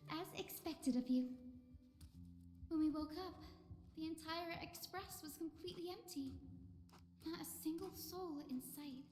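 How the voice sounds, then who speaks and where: A woman voicing a gentle, gracious young woman in a fantasy video game, speaking tenderly and politely. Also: very high-pitched, unhurried, long pauses. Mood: tender, welcoming. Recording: echoey reverb with music behind.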